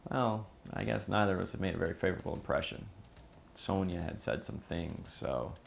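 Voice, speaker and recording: A low, gravelly man's voice with lively intonation and faint room noise. An actor plays an earnest admirer defending a woman, talking naturally as if interviewed in a film drama. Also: brisk, exaggerated voice. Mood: rueful.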